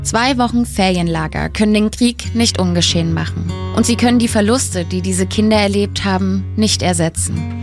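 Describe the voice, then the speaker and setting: A woman narrates a news feature in a calm, measured voice at a middle pitch. Her scripted delivery is steady and even. Soft guitar music plays clearly underneath her. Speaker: news reporter.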